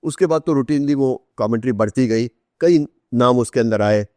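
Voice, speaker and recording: Podcast guest: Indian man, medium pitch, anecdotal and explanatory, code-mixing English, recorded dry. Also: normal speed, mellow, no room sound. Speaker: interview guest, author. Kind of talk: conversational storytelling in a podcast interview.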